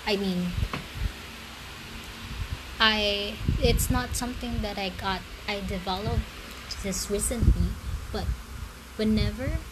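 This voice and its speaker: A woman who speaks as a talks slowly and unhurriedly to her listeners late at night. She rambles and restarts her sentences, sounding self-conscious about how she speaks. A loud, steady background noise runs under her voice. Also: medium pitch. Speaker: amateur story writer and reader.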